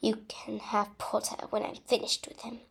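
A woman voicing a menacing male dark wizard villain, cold and mocking, drawing out the words in a story reading. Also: mid-range voice, completely flat delivery, creaky, husky, whispered, exaggerated voice. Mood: mocking menace. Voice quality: soft, cold. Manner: mocking, drawn-out delivery.

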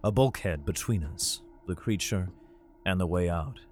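A man narrating an audio drama in the first person as a weary father, measured and grim. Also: sits low, darkish tone. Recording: faint music behind.

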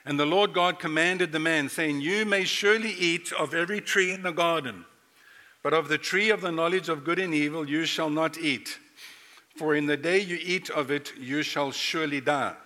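A bright, fairly high-voiced middle-aged man preaching a sermon. He talks playfully and speaks straight to the congregation. His voice rings with a long reverb through the sanctuary. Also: normal speed. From South Africa. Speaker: pastor. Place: church sanctuary.

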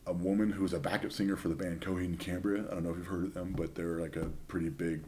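A young man chatting casually as a podcast guest, telling stories in a relaxed, rambling way. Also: sits low, quick pace, a little hiss or hum. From Northern California. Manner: chatty storyteller. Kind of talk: casual chat.

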